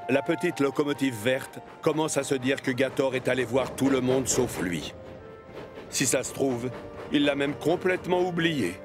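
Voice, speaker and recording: A man's voice: medium pitch, normal speed, over music. A man narrating a children's story as scripted narration.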